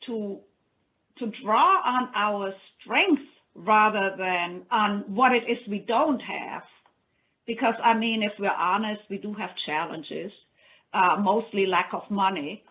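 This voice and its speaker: A woman with a German accent talks on a thin, phone-like video call line. She speaks animatedly at a middle pitch and often circles back and restarts her sentences. She sounds like a panelist weighing in at a virtual summit. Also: slow. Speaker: funding program officer.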